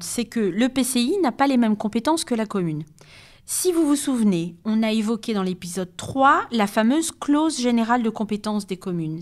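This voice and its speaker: A woman giving a structured educational podcast explanation in a didactic, methodical manner, signposting each point. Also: fairly high pitch.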